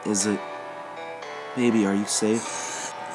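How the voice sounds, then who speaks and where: A female police dispatcher keeps her questions calm and coaxing, voiced by a man reading a story. The voice stays low and deep, barely shifted from the reader's own. Music runs clearly underneath. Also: takes it slowly. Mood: frightened pleading. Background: background music.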